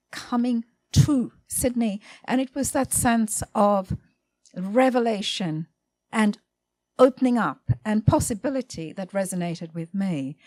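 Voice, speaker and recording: A woman speaking on a literary panel, thinking aloud in a halting, self-correcting way. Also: medium pitch, darkish tone, no room sound.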